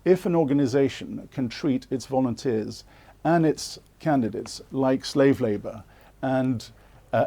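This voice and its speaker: Male interviewee, warm mellow timbre, earnest and insistent, hesitant delivery. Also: mid-range voice. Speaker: interviewee, former political candidate. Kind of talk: live political TV interview.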